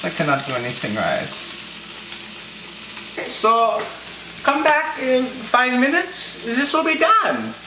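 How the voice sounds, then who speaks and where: A man's voice: very high voice, wildly swooping pitch, slow pace, pauses often, background noise, heavily acted. A teenage boy playing Franz, an anxious, self-pitying home cook who whines melodramatically to his cameraman. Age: teens. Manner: melodramatic, whiny, fretful asides to the cameraman. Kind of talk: comic cooking show skit. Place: home kitchen, indoors. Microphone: camera mic from a handheld camera. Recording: roomy kitchen with frying sizzle. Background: food sizzling in a pan.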